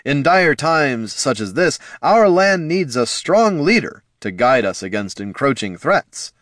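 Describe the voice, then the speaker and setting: A man playing a dashing, smug male knight champion with a booming, trumpet-like voice and a grandly heroic, smooth-talking manner. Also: big pitch swings, measured pace, fairly bright tone, dry recording, studio quality.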